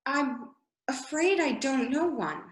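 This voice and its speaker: A woman's voice: high voice, expressive, takes it slowly. A woman playing a curious, polite but easily indignant young girl in a readers' theater storybook piece, prim and questioning, in an echoey room. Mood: polite curiosity. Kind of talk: readers' theater of children's classic.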